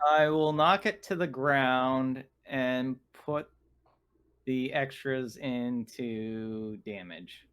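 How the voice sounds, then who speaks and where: A man of average pitch takes part in a tabletop roleplaying session. He talks in a loose, casual way, as a player among others. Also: drawn-out words, fairly bright tone, clear, resonant tone. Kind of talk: casual game chat.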